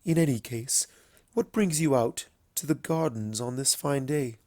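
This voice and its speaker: A man's voice: medium pitch, unhurried, darkish tone, dry recording, clean studio sound, close to an everyday voice. A man voicing a proud, easily flustered younger pony princess in a story reading, speaking in a formal, indignant, questioning way. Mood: puzzled. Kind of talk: fan fiction story reading.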